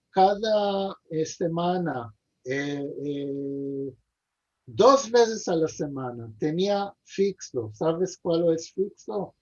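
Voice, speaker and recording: A man being interviewed over a video call, speaking haltingly with many pauses, fillers and repeated words. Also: a little high, a little mellow. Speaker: interview guest. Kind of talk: hesitant conversational interview. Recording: dull lo-fi video call audio.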